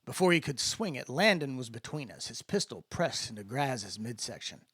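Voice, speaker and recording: A man's voice: mid-range voice, sing-song, normal speed, a bit bright, husky, no room sound, clean studio sound. A man giving a dramatic first-person narration of a story, as in an audiobook. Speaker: author-narrator reading fiction.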